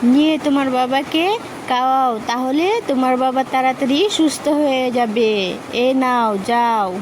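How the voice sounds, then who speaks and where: Woman as high-voiced cartoon fairy. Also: fairly even intonation, moderate pace, a little mellow, slight background noise, natural voice. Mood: kindly. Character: fairy questioning and then helping a child. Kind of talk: children's cartoon voice acting. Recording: music behind the voice.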